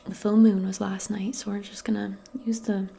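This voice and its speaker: A woman speaking softly and breathily, often near a whisper, in a gentle, casual ASMR role play aimed closely at the listener. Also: medium pitch, little pitch movement, normal speed, vocal fry, husky. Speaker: ASMR performer role-playing as a modern witch. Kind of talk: soft-spoken ASMR role play, personal attention.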